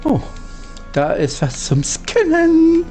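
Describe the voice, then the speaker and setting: Slow-paced, expressive male game commentator. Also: extremely high pitch, faint music behind. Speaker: gaming streamer playing and reading dialogue. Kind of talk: let's play commentary.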